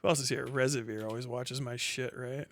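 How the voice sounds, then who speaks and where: A man hosting a casual, unscripted livestream, talking bluntly with profanity, muttering asides and reading things out loud as he goes. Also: mid-range voice, steady tone, brisk, no room sound, studio quality. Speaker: livestream host. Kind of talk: reads names, stats and posts aloud.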